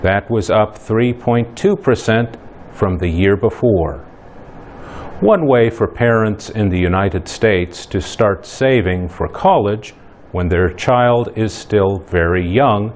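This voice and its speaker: Man, news reader, low voice, very slow measured delivery, faint hiss. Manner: slow, careful, even delivery. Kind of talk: scripted news report read slowly.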